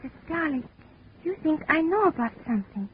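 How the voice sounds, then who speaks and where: An actress playing an earnest, guarded young foreign woman in a 1950s radio drama, with a foreign accent and halting, careful English. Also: high voice, sing-song. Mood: innocent, pleading. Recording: tinny, narrow, hissy old radio recording.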